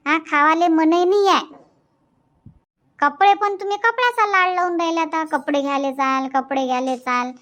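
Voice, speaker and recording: A sarcastic, grumbling village wife played by a woman in a cartoon comedy. Her voice is extremely high, almost childlike, with a Varhadi Marathi accent. She rambles on in resentful, thrifty complaint. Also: moderate pace, fairly bright tone. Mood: complaining. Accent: Varhadi Marathi from Vidarbha. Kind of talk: dubbed cartoon comedy dialogue.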